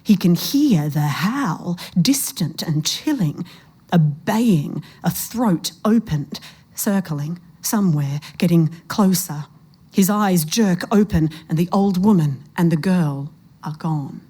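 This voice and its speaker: A woman's voice: lowish voice, animated, slow, mellow, slightly rough. A woman narrating a suspenseful short story in a literary reading style.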